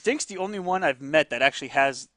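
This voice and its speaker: A man hosting and commentating a fighting-game tournament live, excitable, laughing often and tripping over repeated words. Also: fairly high pitch, fast talker, no room sound, clean studio sound.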